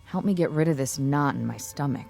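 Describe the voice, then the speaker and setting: An actress playing a thoughtful, quietly uneasy woman in a radio drama, measured, dry and understated. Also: a bass voice, gravelly, soft background music. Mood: uneasy, wary. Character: thoughtful, uneasy female language analyst, quietly wary.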